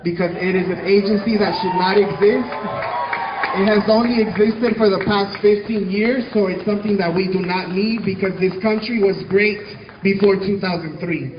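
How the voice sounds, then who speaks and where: A young man from Mexico speaks at an outdoor protest, his high voice pressing each point with emphatic repetition. His words come through a loudspeaker with an echo, and the live-stream audio is dull. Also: steady tone, normal speed, background noise. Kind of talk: rally speech.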